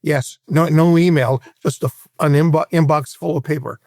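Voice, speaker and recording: A man in his sixties from Hawaii with an American accent, recounting his career in a measured, explanatory way as an interview guest. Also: fairly high pitch, steady tone, darkish tone, no echo, clean studio sound. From Hawaii, United States. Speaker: interview guest, private equity veteran. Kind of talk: conversational career recollection in an interview.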